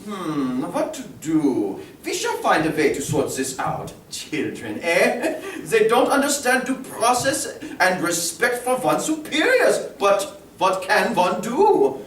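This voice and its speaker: Slow sneering prince, huge pitch swings, mocking drawl, echoey far mic, read at a table read. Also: wildly swooping pitch. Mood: smug. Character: smug, cruel occupying prince, male. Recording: roomy room, distant mic, faint hiss.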